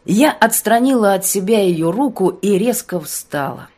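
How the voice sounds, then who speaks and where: A woman's voice: mid-range voice, animated, a little slow, a little mellow, slightly rough. A woman narrating a novel as an audiobook in a dramatic scripted reading style.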